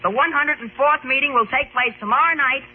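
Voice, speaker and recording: A man's voice: very high voice, brisk. A man playing an impatient, self-important boy sidekick in an old radio drama, brash and mock-formal. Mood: official, eager. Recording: tinny, noisy old radio recording.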